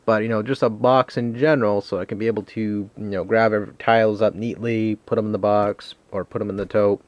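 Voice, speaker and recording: A man with a fairly low voice talks off the cuff as a video game collector showing things at home. He rambles and hesitates, poking fun at himself as he goes, and the sound is dry with little room echo. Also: steady tone, fairly quick, dull audio. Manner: rambling, hesitant, self-deprecating. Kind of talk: casual unscripted show-and-tell vlog.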